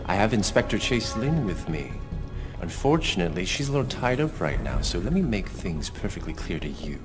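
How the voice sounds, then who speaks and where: An actor playing a menacing, coldly polite crime boss in a video game, speaking in a deliberate, formal, threatening way. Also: male voice, fairly low pitch, very animated, music in the background. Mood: weary threat. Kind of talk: video game cutscene acting.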